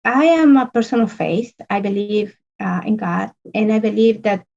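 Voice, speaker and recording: A woman, an earnest podcast guest talking in halting phrases full of restarts and fillers. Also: mid-range voice, creaky, a little mellow, highs cut off. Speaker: podcast guest, business consultant. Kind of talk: casual interview conversation.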